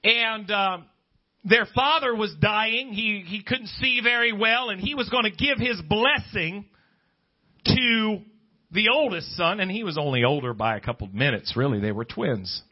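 A man's voice: high voice, lively intonation, measured pace. A man preaching a conversational, off-the-cuff sermon, emphatic and full of rhetorical questions and quotations. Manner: emphatic, rhetorical questions, quotes scripture and imagined objectors. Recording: dull, lo-fi sermon recording.

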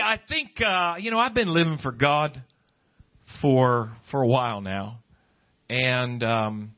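A middle-aged American man preaching as a pastor, in emphatic, deliberate phrases with pauses. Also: a little high. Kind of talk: preaching a sermon to a congregation, reading scripture. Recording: dull, lo-fi sermon recording.

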